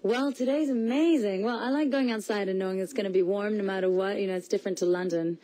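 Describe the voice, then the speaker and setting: A young woman from Portugal, a singer, chatting quickly in a radio studio interview, with many restarts and laughs. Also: mid-range voice, warm tone. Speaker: singer guest.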